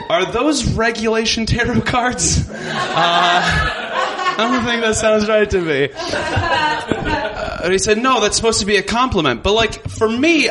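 A man telling self-deprecating stand-up stories in an animated way on a live stage. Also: high-pitched. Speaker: stand-up comedian. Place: live comedy show before an audience. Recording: noisy live stage recording with clicks.

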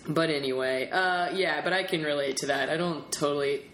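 Low-voiced woman chatting in an echoey room. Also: fairly bright tone. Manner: chatty, quick to laugh. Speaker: podcast host. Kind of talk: casual interview chat. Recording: roomy echo, faint background noise.

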